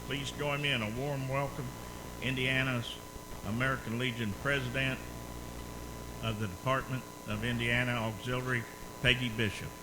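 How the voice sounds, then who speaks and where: A man's voice: mid-range voice, fairly even intonation, normal speed, heavy background noise. A man acting as emcee, giving formal, welcoming stage introductions at a conference. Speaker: state commander, emcee. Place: conference hall.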